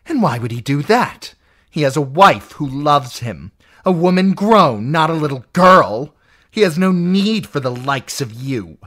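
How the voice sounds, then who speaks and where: An audiobook narrator voicing a jealous, unhinged middle-aged noblewoman who accuses in a shrill, spiteful voice that rises into screeching. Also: big pitch swings, slow, hardly any pauses. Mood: furious. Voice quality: shrill, piercing. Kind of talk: audiobook character voice.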